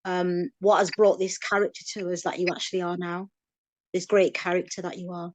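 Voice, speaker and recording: A woman's voice: on the low side, level tone, fairly quick, darkish tone. Enthusiastic woman co-host. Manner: enthusiastic, encouraging. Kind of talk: interview questions.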